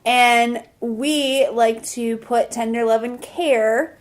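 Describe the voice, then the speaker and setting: A woman's voice: on the high side, fairly even intonation, slow pace. A woman, a staff member, joining a casual podcast and explaining a definition matter-of-factly.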